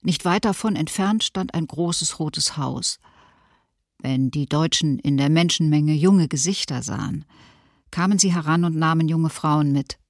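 A woman's voice: sits low, unhurried, no echo, studio quality. An actress playing a female survivor giving sober, reflective testimony in a documentary radio drama. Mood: bewildered, sober.

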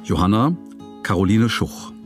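A man's voice: fairly low pitch, a little slow. A man announcing radio drama credits in a scripted, formal read. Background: loud plucked guitar music.

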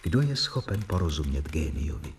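A man narrating a radio drama in a reflective, measured way. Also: very deep voice, very animated, gravelly, recorded from a distance, a little hiss or hum. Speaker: narrator reading diary.

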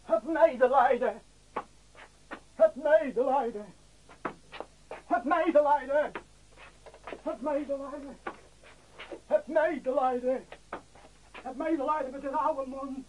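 Radio-drama hero, male: resolute hunted adventurer, high-pitched, urgent and commanding, faintly noisy recording. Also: slow pace, pauses often, a little mellow, highs cut off. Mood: pleading. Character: Dimitri, resolute hunted brother-adventurer who poses as beggar. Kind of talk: Dutch radio play acting.

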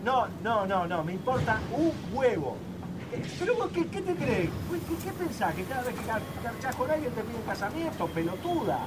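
An actor plays a crude, hot-tempered factory worker raging into a phone in a radio play. His high Rioplatense Argentine male voice swings widely, stammering and repeating itself, over noisy ambience. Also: sing-song, normal speed. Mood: furious. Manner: aggressive, stammering repetitions. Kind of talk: naturalistic radio drama acting. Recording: noisy ambient location sound.

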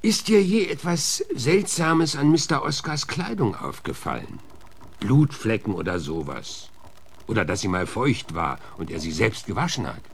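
Blunt interrogating marshal, radio drama, very animated intonation, curt coarse delivery, faint background noise. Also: male voice, fairly low pitch, moderate pace, gravelly. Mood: probing. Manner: curt, pressing questions, coarse. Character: gruff, blunt, suspicious male US marshal interrogating suspects. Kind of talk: German radio drama acting.

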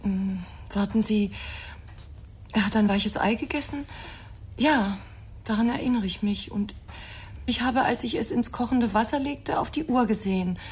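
A distraught mother in a German radio crime drama. Her voice sits fairly high and is very breathy and fragile. She speaks hesitantly and defensively, strained by questioning. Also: unhurried, pauses often. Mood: anxious, hesitant.

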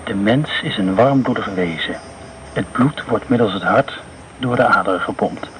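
An actor playing a haunted man who speaks in a slow, brooding monologue about blood and war, in a radio play. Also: lowish voice, a bit bright, slight background noise, lightly acted, rough recording. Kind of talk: radio drama within a radio broadcast.

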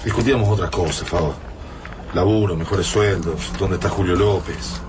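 An Argentine actor playing a wary, intuitive resistance survivor in a radio drama, with a cautious, earnest manner. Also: male voice, deep voice, normal speed, background noise, close to an everyday voice. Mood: nostalgic.

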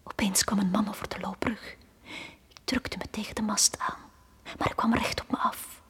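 A woman's voice: medium pitch, fairly even intonation, moderate pace, a bit bright. Whispering, haunted drowned young girl. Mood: hushed, fearful. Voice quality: breathy whisper. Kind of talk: dramatic radio play monologue.

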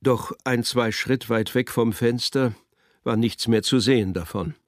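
A man narrating a literary radio drama with measured, deliberate phrasing. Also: mid-range voice, no room sound, studio quality.

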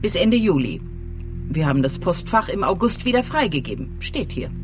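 An actress playing a proud, prim village post office clerk in a radio crime drama, officious and pedantic. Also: female voice, fairly low pitch, very animated, normal speed, over music. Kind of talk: naturalistic German radio crime drama acting.

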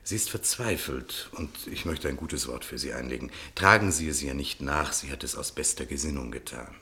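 An actor playing a persistent, argumentative defence lawyer in a German radio play, formal, probing and persuasive. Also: male voice, a bass voice, moderate pace, pushed and bright, exaggerated voice. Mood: resigned, pleading. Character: persistent, argumentative male defence lawyer pleading his case.

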